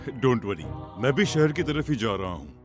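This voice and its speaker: A man's voice: medium pitch, big pitch swings, a little slow, faint music behind. A man playing a helpful but nervous young driver in a horror story, earnest and a little hesitant. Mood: friendly. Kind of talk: audio horror story acting.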